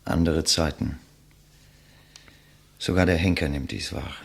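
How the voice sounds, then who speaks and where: An actor playing a proud, scornful condemned intellectual in a 1950s German radio play, declamatory and contemptuous. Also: male voice, very deep voice, unhurried, vocal fry, slightly rough, heavily acted. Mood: bitter, grave. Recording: vintage 1959 radio recording.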